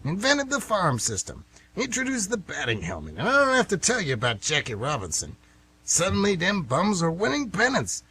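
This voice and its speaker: A man's voice: high voice, huge pitch swings, normal speed, sharp tone, slightly rough, heavily acted, poor audio. A man playing a folksy, nostalgic older baseball fan who rambles emphatically in an amateur radio play. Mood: enthusiastic, rambling.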